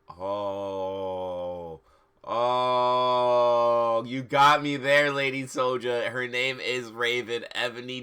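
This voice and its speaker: Livestream host with a bright, forceful voice, theatrical and mocking, reading fan fiction and commenting casually. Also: medium pitch, smooth. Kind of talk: comic dramatic reading with casual asides.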